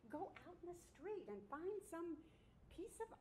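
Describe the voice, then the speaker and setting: A woman playing an exasperated, bossy, sharp-tongued wife in a comic stage dramatization, nagging and scornful. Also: very animated, moderate pace, low-quality recording. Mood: bossy, complaining. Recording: live stage recording with rumbling background noise.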